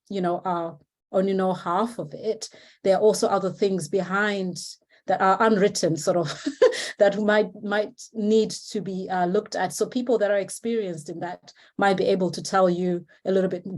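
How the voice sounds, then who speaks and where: A woman from Zimbabwe talks over a video call at an online seminar. Her pitch sits in the middle range. She speaks in a hesitant way, with many fillers and restarts, and encourages her listeners. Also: darkish tone. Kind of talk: informal remarks at an online seminar.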